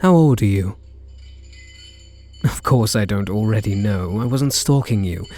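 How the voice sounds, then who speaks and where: A British man playing a reluctant kidnapper in an intimate ASMR roleplay, wry and incredulous, speaking in short halting phrases. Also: lowish voice, a little mellow, soft background music, close to an everyday voice. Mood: wry, amused. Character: reluctant male kidnapper, gruff but secretly softening. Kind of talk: ASMR roleplay acting, intimate one-sided dialogue.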